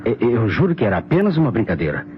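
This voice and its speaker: A man's voice: mid-range voice, big pitch swings, very fast, lightly acted. A Brazilian man playing a defensive lawyer caught as an accomplice, in an old radio mystery. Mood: confessing. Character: defensive male lawyer, confessed accomplice in a prank. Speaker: radio drama actor. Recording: muffled, noisy vintage radio recording.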